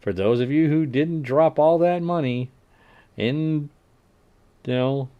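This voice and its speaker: A man hosting a livestream, casually reading game update notes aloud and adding wry asides that trail off quietly. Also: fairly high pitch, slow pace, clear, resonant tone, phone-quality sound. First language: English. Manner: frequent fillers and restarts while working out numbers. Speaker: livestream host.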